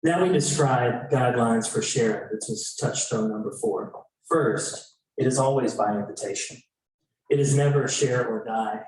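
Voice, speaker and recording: A man's voice: mid-range voice, moderate pace, a little mellow. Very echoey man reading guidelines aloud. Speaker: event co-facilitator. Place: indoor event room. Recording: very echoey room, distant mic.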